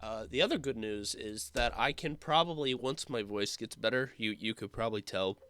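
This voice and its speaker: A young man who is sick with a sore throat, talking in a rambling, halting way full of fillers for his casual vlog update. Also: fairly low pitch, bright, forceful tone. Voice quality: slightly rough, hoarse voice. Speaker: YouTuber.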